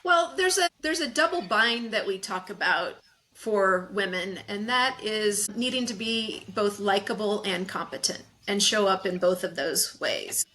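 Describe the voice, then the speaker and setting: A woman's voice: medium pitch, measured pace, bright, forceful tone, a little hiss or hum, poor audio. A woman speaking as a leadership coach in a conversational interview, explaining things as a guest.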